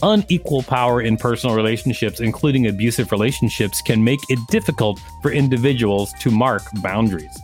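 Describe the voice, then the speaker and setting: A man's voice: medium pitch, fairly quick, few pauses, soft background music. A man co-hosting a podcast, reading encyclopedia material aloud with some casual commentary. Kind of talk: scripted narration read aloud, with brief casual commentary.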